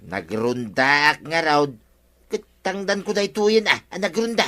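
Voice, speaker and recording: Sharp, bright-voiced Ilocano village watchman. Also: on the high side, measured pace. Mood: protesting. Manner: protesting, argumentative. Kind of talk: radio comedy drama acting.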